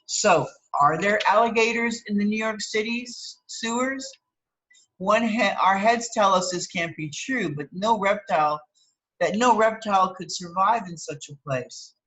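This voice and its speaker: A woman with a bright, forward voice of medium pitch reads educational material aloud. She sounds like a teacher, instructive and explanatory, stepping out of the text to clarify and to check that listeners understand. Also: a little slow, poor audio. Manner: instructive, checks understanding with tags like okay and right. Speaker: teacher reading an article aloud.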